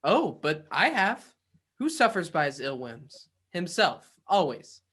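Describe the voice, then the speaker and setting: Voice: mid-range voice, sing-song, unhurried, a bit bright, breathy edge, exaggerated voice. A performer playing a cheerful, forgiving young nephew in a radio play, earnest and good-natured.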